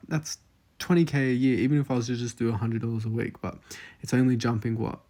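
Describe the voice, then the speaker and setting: A man's voice: medium pitch, warm tone. A twenty-year-old Australian man casually walking through his investment numbers, thinking aloud with frequent fillers. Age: 20s. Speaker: investing vlogger. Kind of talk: casual screen-share walkthrough.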